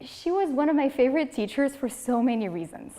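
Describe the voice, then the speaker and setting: A woman's voice: high voice, fairly quick. A woman, giving a humorous prepared speech at a speaking club and acting out the people in her stories. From Morocco. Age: 30s. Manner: lively storytelling, acting out quoted people. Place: meeting room of a speaking club. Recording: long reverb of a hall.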